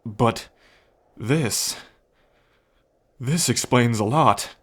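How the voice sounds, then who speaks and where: A man voicing a solemn dragon of royal blood, an older half-brother who explains things in a measured way in an ASMR story roleplay. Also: mid-range voice, a bit bright, husky, heavily acted. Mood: grave, pondering.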